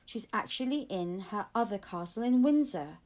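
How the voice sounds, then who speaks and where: A proud, courteous guardsman from a children's storybook, voiced by a woman. He speaks in a formal, measured way and reassures his young listeners. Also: mid-range voice, expressive, a little mellow, close to an everyday voice. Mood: reassuring. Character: courteous, reassuring male royal guard lieutenant.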